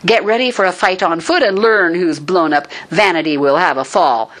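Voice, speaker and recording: A woman's voice: lowish voice, normal speed, close to an everyday voice. Woman doing a crafty warrior guy from an old epic, taunting, reciting it like verse. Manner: taunting, declamatory. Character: cunning male warrior taunting a warrior girl.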